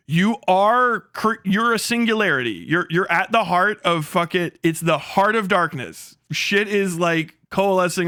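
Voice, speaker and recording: Male streamer, high bright voice, casual rambling commentary, amused and profane, close dry sound. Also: clear, resonant tone, no echo. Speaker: live streamer, host of the channel. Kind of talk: casual livestream commentary with reading aloud.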